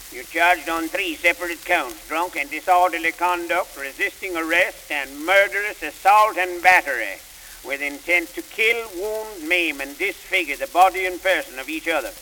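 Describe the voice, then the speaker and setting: An actor playing an impatient small-town judge who runs his court briskly. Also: fairly high pitch, pushed and bright. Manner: brisk, no-nonsense. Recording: hissy, echoey old record.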